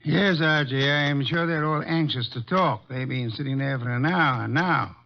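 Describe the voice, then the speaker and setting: A man's voice: on the high side, unhurried, gravelly. A man playing a genius detective in an old-time radio drama, formal and precise as he questions suspects. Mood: brisk, assured. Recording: dull lo-fi old radio recording.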